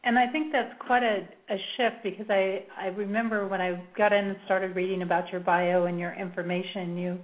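A woman's voice: medium pitch, steady tone, fairly quick, few pauses. Female interview host, friendly and chatty, restarts often; narrow, phone-like audio. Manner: warm, chatty, with small laughs and restarts. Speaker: radio show host. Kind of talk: interview hosting. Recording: tinny phone-like line.

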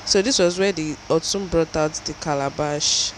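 A woman's voice: sits low, normal speed. Young Nigerian woman, noisy recording. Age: young adult. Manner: informal storytelling, explanatory. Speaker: student vlogger. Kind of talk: casual vlog voice-over recap.

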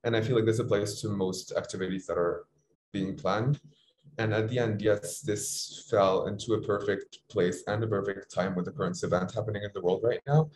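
A man's voice: fairly low pitch, fairly even intonation, moderate pace, darkish tone. A young man from Morocco, a student panelist giving a reflective answer in a discussion. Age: young adult. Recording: roomy, echoey sound.

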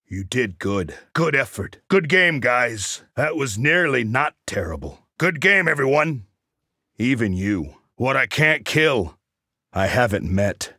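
A cocky crossbow assassin from a video game, dry and sardonic. His delivery is unhurried and swings widely in pitch, with a bright, forceful edge. He boasts in short deadpan quips. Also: no room sound, full character voice. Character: cocky, wry male Alaskan crossbow assassin mercenary.

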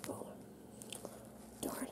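A woman play-acting frightened toy film-crew survivors fleeing a monster in amateur found-footage horror, with breathless exclamations and hushed stammering. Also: extremely slow, in a whisper. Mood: shaken, mournful. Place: outdoors on a covered paved patio. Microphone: handheld camera microphone. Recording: faint noise, handheld camera audio.

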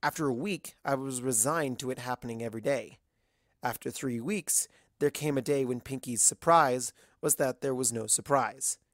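A narrator reads a scripted story at a medium pitch. The sound is dry, with very little room in it. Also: moderate pace, no room sound. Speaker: fan fiction narrator.

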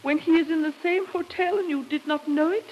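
Actress as very high-pitched, plaintive young wife. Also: female voice, a little fast, highs cut off, close to an everyday voice. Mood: tearful, hesitant. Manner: plaintive, tremulous. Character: fragile, anxious, jealous young newlywed wife. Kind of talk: 1950s radio drama acting.

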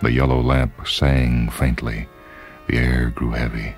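A man narrating a literary story in a measured, theatrical manner. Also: extremely low pitch, flat delivery, faint music behind. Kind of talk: dramatic literary story reading.

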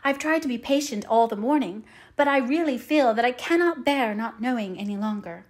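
A woman's voice: on the high side, animated, a little fast, natural voice. A woman voicing an eager, dreamy orphan girl who talks earnestly and effusively, as in an audiobook. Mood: imploring. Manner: effusive, earnest, dramatic phrasing. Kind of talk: audiobook character voice.

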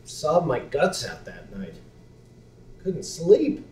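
A man's voice: a little high, wildly swooping pitch, takes it slowly, darkish tone, a little hiss or hum, exaggerated voice. A young man on a small theater stage playing a skeptical, blunt best friend with a sentimental side, talking in a dry, sardonic way. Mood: heartfelt. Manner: dry, sardonic, then heartfelt storytelling. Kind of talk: live stage acting. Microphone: far room mic. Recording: roomy theater sound from far off.

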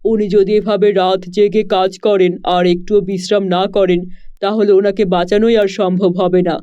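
A grave village doctor in a cartoon story, played by a man. The voice is high and smooth with even intonation, serious and instructive. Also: normal speed, muffled recording, natural voice. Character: grave male village doctor giving firm advice.

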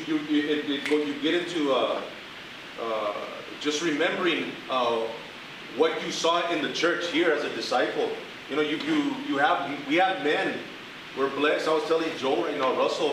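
A church member sharing in a men's group discussion, halting and restarting often. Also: male voice, a little high. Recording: echoey room from far off with hiss.

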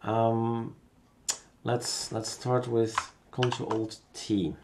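A man with a Belgian accent giving a casual, unscripted software tutorial, thinking aloud as he works. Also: medium pitch, level tone, drawn-out words, background noise.

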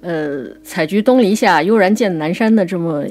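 A woman co-hosting a conversational talk on classical poetry, explaining things and reciting verse slowly. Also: lowish voice, darkish tone. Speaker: writer and co-host.

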